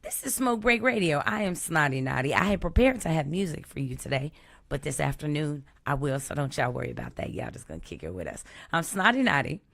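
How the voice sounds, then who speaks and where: A woman from Texas, hosting a live stream in a relaxed, chatty way over an online call. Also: sits low, fairly quick, vocal fry. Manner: relaxed, chatty, open about personal experience. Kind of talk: casual chat. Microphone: online call or stream mic.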